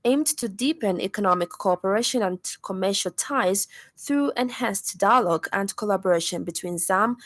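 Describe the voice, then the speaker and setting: A woman with a Zambian accent reads a scripted radio news bulletin in a formal, measured manner. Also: mid-range voice, dry recording. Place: radio studio.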